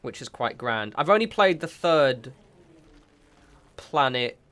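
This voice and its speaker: A man at a middle pitch talks over his gameplay in a loose, rambling way, often stopping to correct himself. He sounds a bit sniffly from a cold. It is relaxed, casual commentary into a desk mic. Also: slow. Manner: rambling, self-correcting, chatty asides. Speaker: gaming channel host.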